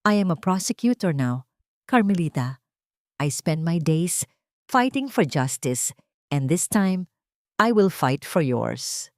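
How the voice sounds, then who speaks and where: A woman with a Filipino accent voicing a gentle, earnest, determined male prosecutor in an audio drama. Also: lowish voice, sing-song, slow pace, pauses often, no echo, clean studio sound, heavily acted. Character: gentle, determined grown man, a loyal prosecutor.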